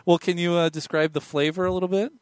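A man's voice: high voice, fairly even intonation, fast, slight background noise. A young man hosting a casual podcast, joking and laughing often with his guests. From United States. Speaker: podcast host.

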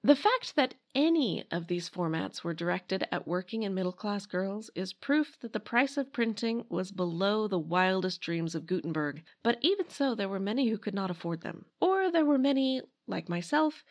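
A woman hosting a podcast, reading scripted history narration in a wry, emphatic way. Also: mid-range voice. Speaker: podcast host.

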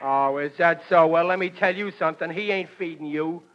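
A man's voice: a little high, a little fast, natural voice. An actor playing a blustering, well-meaning working-class American father in a 1940s radio comedy, folksy and bumbling. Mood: blustering, confident. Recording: dull vintage radio recording.

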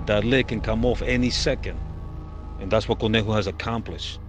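A man's voice: fairly low pitch, steady tone, fairly quick. A middle-aged man from El Salvador giving an earnest, casual testimonial full of street slang and profanity. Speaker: fan interviewee. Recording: music playing behind the voice. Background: music.

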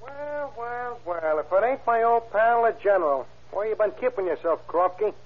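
An actor playing a wry, skeptical American soldier of fortune, laconic and needling, in an old-time radio crime drama. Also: male voice, high-pitched, lively intonation, moderate pace. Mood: probing. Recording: muffled, tinny old radio recording.

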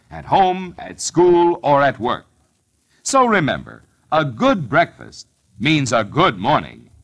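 A man's voice: fairly high pitch, fairly bright tone. A man reading an old-time radio advertisement as a commercial announcer.

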